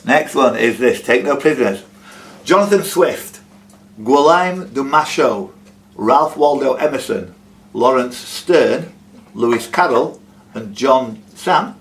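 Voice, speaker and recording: A man's voice: medium pitch, lively intonation, takes it slowly, a little hiss or hum. A man hosting a quiz, reading questions aloud and teasing the players with jokey casual banter.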